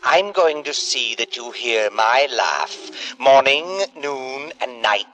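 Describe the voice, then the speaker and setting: A man's voice: medium pitch, animated, slow, sharp tone, poor audio. A man playing a gloating, mockingly courteous devil who narrates a 1950s radio drama in a taunting, theatrical manner. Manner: mock-sympathetic, taunting, theatrical. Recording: vintage radio recording with music.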